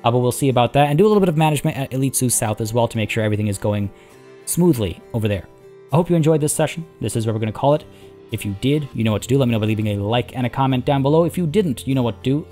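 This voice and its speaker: A man with a hoarse, tiring voice, chatting casually as he plays a zoo-building game and rambling through his thoughts. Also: medium pitch, expressive, fast, dark tone, faint music behind. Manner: rambling, chatty, many restarts and fillers. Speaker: let's play host. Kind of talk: casual gameplay commentary.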